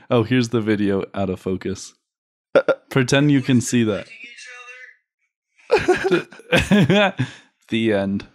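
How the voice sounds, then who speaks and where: A man's voice: medium pitch, animated, extremely slow, long pauses. A young adult man chatting casually as a podcast guest, rambling and joking with lots of filler "like". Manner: giggly, rambling storytelling with frequent "like".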